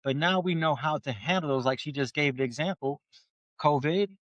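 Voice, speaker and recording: A man's voice: fairly high pitch, moderate pace, fairly bright tone, dry recording, dull audio. Emphatic man in his forties, close and dry. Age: 40s. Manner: emphatic, repetitive, stammers on restarts. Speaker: podcast co-host. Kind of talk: casual motivational chat.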